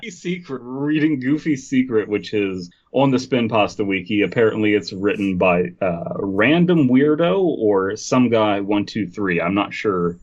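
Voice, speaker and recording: Low, husky man reading aloud casually. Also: lively intonation, normal speed, hardly any pauses, lo-fi sound. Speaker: podcast host reading a story. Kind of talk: casual comedic read-aloud with asides.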